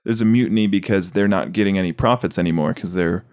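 A man casually brainstorming as a sketch comedy podcaster, halting as he thinks aloud. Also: deep voice, a little mellow.

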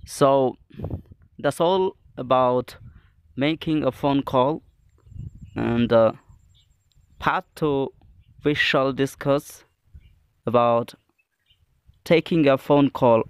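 A man teaching a scripted English lesson, reading out phrases in a deliberate, phrase-by-phrase way. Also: medium pitch, clear, resonant tone.

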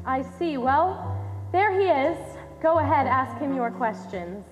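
A wry American woman scientist acted by a young woman speaks in a high, lively voice, projected for a stage play. The hall rings with long reverb and music plays behind. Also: a little slow, lo-fi sound. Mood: composed, wry. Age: young adult. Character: dry-witted, protective woman scientist. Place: theater stage, distant camera.

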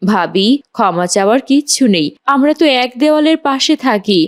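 A woman's voice: a little high, animated, brisk, close to an everyday voice. A woman playing a kind, forgiving mother and neighbour in a moral cartoon. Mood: forgiving, welcoming.

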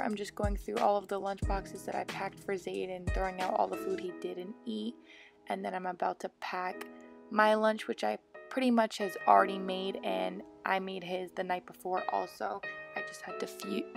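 A woman's voice: medium pitch, level tone, normal speed, creaky. A young American woman vlogger narrating her daily routine in a casual, chatty voice-over. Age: young adult. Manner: chatty, informal. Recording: voice-over with background music. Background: music.

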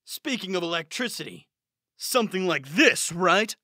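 Brash, high-voiced young gambler fighter acted by a man. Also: animated, normal speed, background noise. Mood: cocky, amused. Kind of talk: anime dub style acting. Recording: dramatic music behind the voice.

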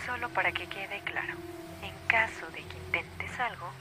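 A woman playing a cold, commanding, threatening interrogation officer in a Latin American animated fan dub. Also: medium pitch, moderate pace, very noisy, lightly acted. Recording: loud music behind the voice.

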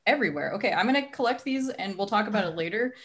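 A woman's voice: mid-range voice, expressive, rapid-fire. A young adult woman casually introducing a livestream as its host, speaking on a video call from home.

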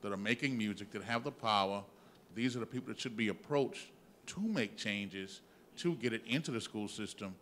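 A man's voice: fairly low pitch, moderate pace, a bit bright, a little hiss or hum. A man giving an earnest, emphatic comment from the audience at a panel discussion. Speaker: audience member. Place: large theatre hall.

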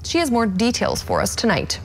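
A woman's voice: mid-range voice, huge pitch swings, fairly quick, a little hiss or hum. A young woman is the news anchor, handing over to reports and putting questions to a guest. Her delivery is polished, apart from a small slip now and then. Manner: polished, with small slips when asking questions. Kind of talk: live news anchoring and interviewing.

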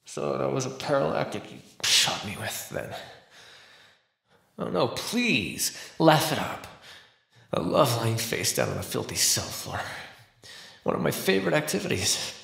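A man's voice: medium pitch, big pitch swings, vocal fry, fairly bright tone, echoey room, exaggerated voice. A man playing a starving captive vampire in an ASMR roleplay, sardonic and desperate, rambling and swearing through a dry throat. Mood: bitter, sarcastic. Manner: wry, rambling, sweary, halting.

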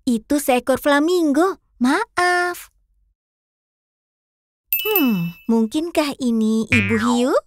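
A woman narrates a children's cartoon in a high, very lively voice. She speaks slowly in a sing-song way, as if guiding small children through a game. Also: a little hiss or hum. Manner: sing-song, addressing young viewers. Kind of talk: scripted narration for young children.

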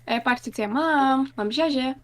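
A woman's voice: sits high, normal speed. A woman chatting casually during a game, often explaining things to the other players. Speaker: player in a group gaming session. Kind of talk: casual gameplay chat.

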